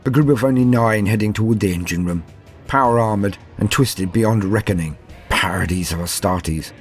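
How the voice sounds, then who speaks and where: Man playing a haunted, low-voiced starship captain. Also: lightly acted. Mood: grim recounting. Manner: confessional, formal, halting then hurried. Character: shaken, haunted male starship captain on first command. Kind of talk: dramatic first-person horror audio tale. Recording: music under the voice.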